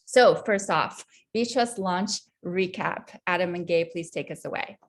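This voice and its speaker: A woman's voice: lowish voice, measured pace. A woman hosting an online company update, introducing the agenda and speakers with frequent ums. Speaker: head of community, moderator.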